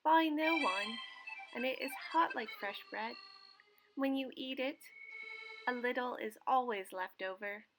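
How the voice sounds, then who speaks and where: A woman voicing a friendly, modest woman baker in a children's storybook, lively and emphatic as she explains. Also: a little high, a little slow, over loud music, low-quality recording. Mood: eager.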